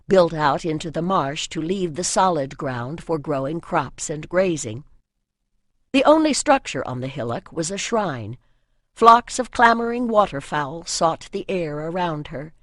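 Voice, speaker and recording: A woman reading scripted fantasy fiction as an audiobook narrator, with measured literary storytelling. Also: on the low side, no room sound, studio quality.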